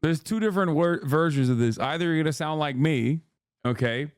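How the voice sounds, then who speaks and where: A man's voice: a little high, a little fast, clear, resonant tone, no echo, studio quality. A man in his thirties streaming casual commentary, reading news text aloud and mocking it with sarcastic, profane asides.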